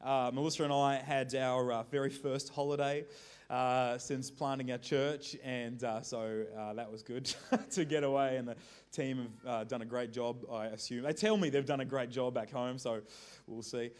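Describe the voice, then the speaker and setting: An Australian man giving an informal sermon as a visiting preacher, casual and joking, halting at times and moving between soft and loud. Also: medium pitch, steady tone.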